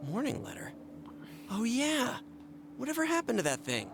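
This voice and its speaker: A man's voice: extremely high pitch, huge pitch swings, moderate pace, creaky, heavily acted. An actor playing a blunt, hot-headed teenage boy in a video game, speaking in a casual, challenging way. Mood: taken aback. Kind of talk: English video game dub acting. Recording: game music behind the voice.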